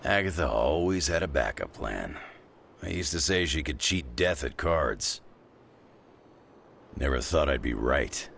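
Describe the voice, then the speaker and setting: Very deep, gravelly wry ally. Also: male voice, animated, unhurried, long pauses, fairly bright tone, a little hiss or hum, full character voice. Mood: wry, confiding. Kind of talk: video game voice acting.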